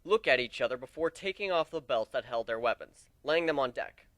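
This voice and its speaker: A man's voice: medium pitch, animated, fairly quick, fairly bright tone, no room sound. A man giving an amateur dramatic reading of a first-person fantasy adventure story.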